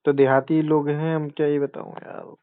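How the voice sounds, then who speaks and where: A man's voice: a little high, level tone, moderate pace, slight background noise. Young man chatting on a tinny phone line. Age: young adult. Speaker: boyfriend on a personal phone call. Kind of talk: casual phone conversation. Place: phone call recording. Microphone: telephone.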